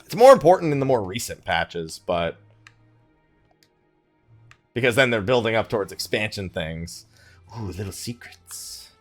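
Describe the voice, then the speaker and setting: A man's voice: lowish voice, very animated, unhurried, long pauses. A man doing casual let's play commentary as a streamer, talking half to himself as he plays. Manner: talks to himself while playing. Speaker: video game streamer. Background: game music.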